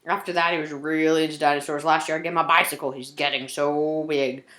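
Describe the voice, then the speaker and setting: Woman as genial, low-pitched old Santa. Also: steady tone, fast talker, fairly bright tone, reverberant. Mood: fond. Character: kindly jolly old Santa Claus, fondly reminiscing.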